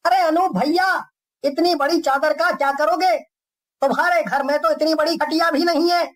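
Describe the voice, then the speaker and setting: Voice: high voice, fairly even intonation, moderate pace, a bit bright. A performer voicing a nosy young village cloth shopkeeper in a cartoon story. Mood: curious.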